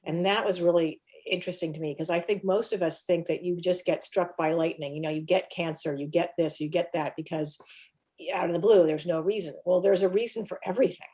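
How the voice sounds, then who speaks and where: A woman health coach explaining nutrition in a relaxed interview, with many restarts. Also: fairly low pitch, a little fast. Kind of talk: casual interview talk.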